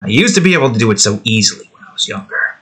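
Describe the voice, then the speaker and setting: A man's voice: on the low side, sing-song, racing, fairly bright tone, husky. Young man rambling while he draws. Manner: rambling, self-talk, trying out voices. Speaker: art video creator. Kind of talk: casual running commentary while drawing.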